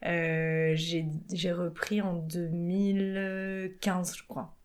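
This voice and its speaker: A woman from France chatting in an interview, halting and full of fillers. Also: fairly low pitch, level tone, darkish tone. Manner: halting, lots of fillers and restarts. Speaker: guest, theatre performer. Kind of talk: casual interview chat.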